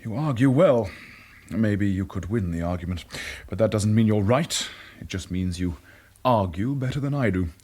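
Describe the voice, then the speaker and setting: An actor playing a blunt, stubborn, embittered former British wartime agent in a radio drama, speaking in a terse, accusing way. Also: male voice, fairly low pitch, animated, normal speed, darkish tone. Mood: wry, unyielding. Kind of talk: naturalistic radio drama acting.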